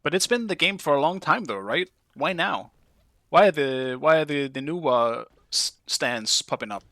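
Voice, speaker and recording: A Danish-accented man streams a game and chats with his viewers in a bright, forceful voice. He comes across as curious and talkative, with casual swearing. The sound is dry and close. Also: a little high, animated, normal speed, no room sound. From Denmark. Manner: chatty, curious, swears casually, talks to chat. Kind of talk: casual live-stream chat while playing.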